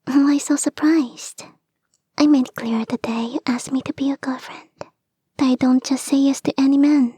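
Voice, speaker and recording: A woman voicing a clingy young kitsune fox girl in an intimate ASMR roleplay, soft and breathy, often whispering, coaxing and sweetly insistent, close to the microphone. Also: sits high, little pitch movement, normal speed, no echo, close to an everyday voice. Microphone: close, dry microphone.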